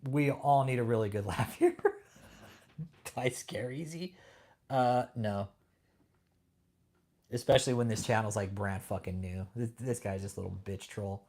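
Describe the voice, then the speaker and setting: A man's voice: mid-range voice, a little mellow. Profane taunting man hosting a live stream. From California. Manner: taunting, profane, laughs at chat. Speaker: livestream host. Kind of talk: casual livestream chat.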